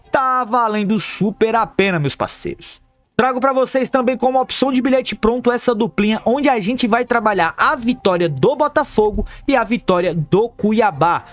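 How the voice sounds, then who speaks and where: A man with a Brazilian Portuguese accent, a football betting tipster talking viewers through his picks in an upbeat, chummy way. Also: sits high. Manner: upbeat, chummy, calls viewers "rapaziada" and "família". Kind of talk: scripted tips over screen recording. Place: voice-over for a screen recording.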